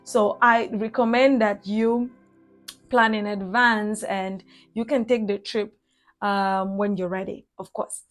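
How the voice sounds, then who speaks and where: A woman's voice: mid-range voice, level tone, slow, faint music behind. A young woman with a Cameroonian accent, recapping her travels in a chatty vlog with fillers and restarts. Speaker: travel vlogger.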